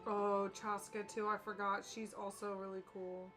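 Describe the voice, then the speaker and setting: A woman's voice: mid-range voice, level tone, measured pace. A young woman giving casual gaming commentary as a streamer, chatty, slangy and opinionated. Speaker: game streamer. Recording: game music behind the voice.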